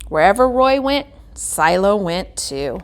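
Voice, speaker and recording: A woman's voice: mid-range voice, sing-song, slow. Woman reading a children's storybook aloud. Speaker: guest reader.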